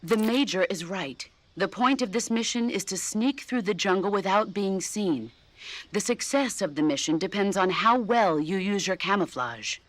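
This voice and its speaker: An actress playing a composed military support officer, giving measured, instructive advice in video game tutorial dialogue. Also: female voice, medium pitch, dry recording, lightly acted. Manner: measured, instructive, mildly scolding.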